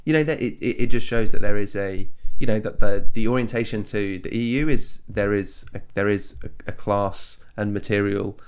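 A hoarse man co-hosting a podcast, talking politics in a thoughtful, halting and wry way. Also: fairly low pitch, steady tone. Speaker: podcast co-host. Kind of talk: casual political discussion.

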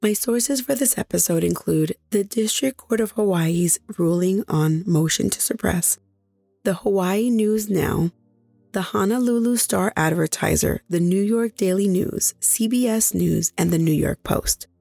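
A woman hosting a true crime podcast, hoarse after nearly losing her voice, chatting directly with her listeners. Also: medium pitch, measured pace, dark tone, no room sound, studio quality, faint music behind. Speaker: podcast host.

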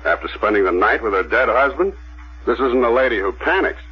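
An actor playing a steady, self-assured police detective who questions and narrates in a measured, terse way in a 1970s radio drama. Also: male voice, mid-range voice, husky. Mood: matter-of-fact, assured. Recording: dull, noisy vintage 1970s radio recording.

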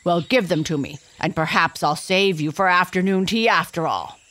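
A woman voicing a vain, hot-tempered young male lion who yells and blusters. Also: fairly low pitch, normal speed, lightly acted. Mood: eager. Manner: yelling, blustering.

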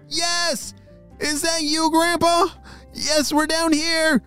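A man doing a squeaky young boy tortoise. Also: flat delivery, takes it slowly, bright, forceful tone, soft background music, heavily acted. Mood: excited.

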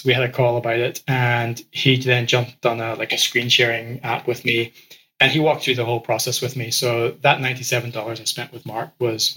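A man with a bright, forceful voice speaks as a podcast guest. He grew up in Ecuador and tells his story casually, stumbling into small repeats as he goes. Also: mid-range voice, rough recording. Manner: frequent word repeats and restarts. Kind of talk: conversational interview storytelling.

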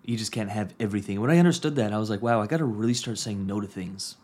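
A man in his thirties talks through a coaching podcast episode in a low-pitched voice. He speaks earnestly and hammers points home by repeating phrases. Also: fast, darkish tone. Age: 30s. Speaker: podcast host and business coach. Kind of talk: casual reflective monologue.

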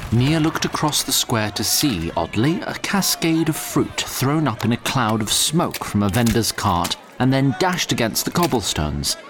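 A man's voice: medium pitch, noisy background. A man playing a wry, theatrical narrator of a fantasy audio drama, formal and dramatic in his phrasing.

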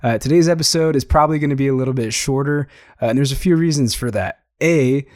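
Chatty young man, slightly hoarse. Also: mid-range voice, quick pace, darkish tone, dry recording, studio quality. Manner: chatty, enthusiastic, frequent fillers. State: losing his voice, slightly hoarse. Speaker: podcast host. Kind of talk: casual solo podcast monologue.

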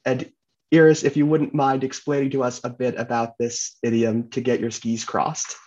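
A man's voice: medium pitch, moderate pace. Chatty male podcast host, lo-fi. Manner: relaxed, chatty, uses fillers. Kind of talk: casual podcast chat.

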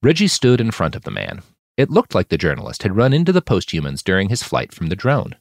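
A man's voice: on the low side, wildly swooping pitch, quick pace, gravelly, dry recording, studio quality. A man reading a fiction story aloud as a dramatic audiobook narrator. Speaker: author narrating his fiction podcast.

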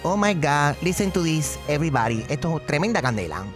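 Campy gossip-radio host, man, fairly high voice, playful banter over background music. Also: sing-song, fairly quick. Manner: playful, campy, mixes English phrases. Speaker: radio show host doing gossip news.

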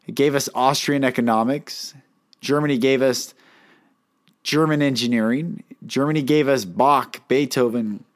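A man's voice: mid-range voice, unhurried. Earnest man who can't roll R's. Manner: earnest, emphatic, asks listeners rhetorical questions; cannot roll his R's. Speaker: podcast host and life coach. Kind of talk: solo monologue to listeners.

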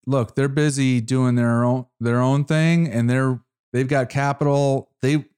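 A man's voice: mid-range voice, fairly even intonation, a little mellow, clear, resonant tone. A man hosting an interview, stopping and restarting as he frames his questions and affirms the guest's points. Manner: halting, restarts often, affirming. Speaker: podcast host. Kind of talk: casual interview.

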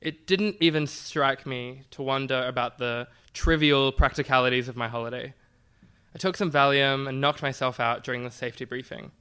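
Bright, clear male storyteller. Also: medium pitch, fairly even intonation, normal speed. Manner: wry, self-deprecating. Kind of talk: scripted comic personal storytelling on stage.

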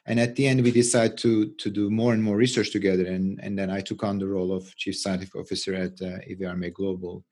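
A middle-aged man with a Turkish accent, giving unscripted interview answers as a scientist, halting and often repeating words. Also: deep voice, level tone, a little mellow. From Turkey. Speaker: interview guest, research scientist and physician.

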